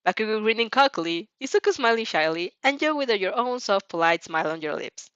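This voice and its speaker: A woman's voice: mid-range voice, animated, a little fast, a bit bright, dry recording, dull audio. A woman reading fan fiction as an amateur, narrating expressively and sometimes stumbling over or repeating words. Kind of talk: expressive story narration with character voices.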